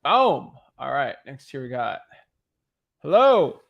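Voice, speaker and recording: A man's voice: medium pitch, sing-song, slow, creaky, dull audio. A man co-hosting a coaching call, playful and upbeat. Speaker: co-host.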